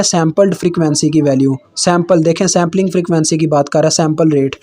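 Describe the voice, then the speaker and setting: High-voiced young Pakistani man tutoring. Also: dark tone. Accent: Pakistani Urdu. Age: 20s. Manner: informal, addresses viewers as kids, frequent fillers and restarts; slowly reads English textbook lines aloud. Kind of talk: casual explanatory lecture over slides.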